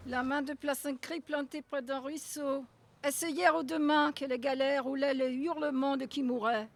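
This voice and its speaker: Higher-voiced woman with deliberate, dramatic phrasing. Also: fairly even intonation. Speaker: poetry translator and reader. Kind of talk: introduction and expressive poetry reading. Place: outdoors at a gallery event.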